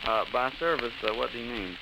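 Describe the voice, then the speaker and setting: A polite American man hosts a radio interview in the manner of the 1930s. He speaks haltingly at a medium pitch. The old broadcast recording is buried in heavy crackle and hiss. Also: vocal fry.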